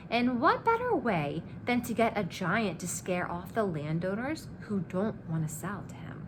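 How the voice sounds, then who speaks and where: Cocky greedy hero guy from a kids book, done by a lady reader, boastful, lively pitch, background noise. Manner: boastful, breezy, persuasive. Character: cocky, greedy, scheming man, a storybook giant killer.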